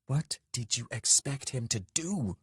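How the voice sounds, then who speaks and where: A man's voice: medium pitch, lively intonation, a little slow, slightly rough, no room sound, clean studio sound, voice pushed up. A man voicing a fierce, protective woman in a fantasy audiobook, intense and earnest. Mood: angry, warning.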